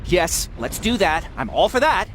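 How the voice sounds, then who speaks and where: A man's voice: high voice, big pitch swings, moderate pace, over music. An actor playing a nervous, grumbling male egghead doctor in an action video game. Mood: relieved, defensive.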